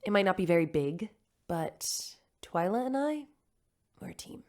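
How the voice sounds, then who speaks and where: An earnest mom, lowish warm-hearted talk. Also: female voice, very animated, normal speed. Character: computerized mother, earnest and wistful. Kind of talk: sci-fi radio drama.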